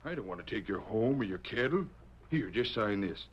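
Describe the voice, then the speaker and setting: An actor playing a remorseful small-town banker with an American accent and a folksy, ungrammatical drawl, in old-time radio western style. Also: male voice, lowish voice, lively intonation, moderate pace, slightly rough. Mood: earnest. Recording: dull, noisy vintage radio recording.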